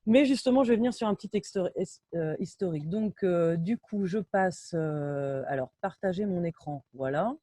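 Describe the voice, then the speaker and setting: A French woman in her thirties giving an informal technical talk in an online meeting, often halting and correcting herself. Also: fairly low pitch, lively intonation, mellow, no echo, clean studio sound. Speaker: sound engineer and lecturer. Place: indoors on a video call.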